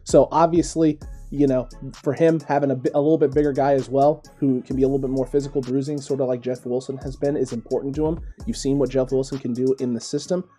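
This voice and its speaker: A young adult man hosting a sports podcast over a video call, leading the talk in a quick, animated way. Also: medium pitch, husky, faint music behind. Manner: fast, animated, leads the discussion. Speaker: podcast host. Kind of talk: casual sports analysis chat. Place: small room, indoors. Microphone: webcam, mic close in front, video call.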